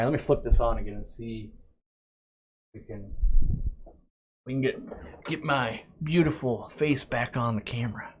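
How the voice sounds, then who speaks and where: Chatty man rambling through a live stream. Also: mid-range voice. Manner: rambling and chatty, with frequent restarts and asides; reads viewer questions aloud, then answers them. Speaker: aquarium shop owner hosting a live stream. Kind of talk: casual live-stream Q&A chat.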